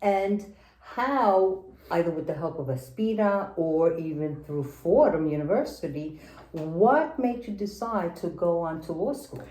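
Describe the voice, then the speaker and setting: A woman's voice: on the low side, expressive, measured pace, warm tone, roomy sound, poor audio. A woman judge hosting a formal interview, gracious and formal with some hesitant fillers.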